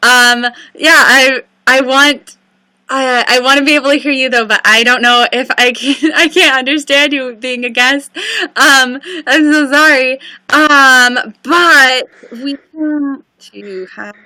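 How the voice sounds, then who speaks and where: Giggly woman on a livestream, high bright voice, keeps laughing and saying sorry, dry sound. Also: steady tone, takes it slowly, no room sound, low-quality recording. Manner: giggly, apologetic, nervous laughter. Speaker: livestream host. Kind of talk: casual livestream chat.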